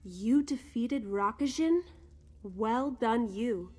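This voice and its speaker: A woman's voice: on the high side, slow, mellow. A woman playing an earnest, eager young female fighter in a video game, talking in a conversational way. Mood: impressed, hopeful. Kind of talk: scripted video game character dialogue.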